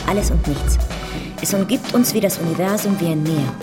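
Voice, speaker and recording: An actress playing a dreamy, rapturous young artist in a radio play, musing earnestly and philosophically. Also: female voice, lowish voice, brisk, over loud music, natural voice. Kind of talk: radio drama acting.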